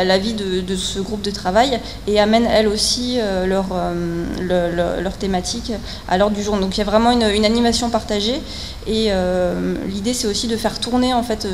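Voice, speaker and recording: A woman speaks at a technical conference in a reverberant hall with noticeable background noise. Her voice sits at a medium pitch. She explains things in a halting, semi-spontaneous way, often filling pauses and repeating words as she goes. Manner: hesitant, halting delivery with frequent fillers and repeated words. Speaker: researcher and consultant speaking at a conference.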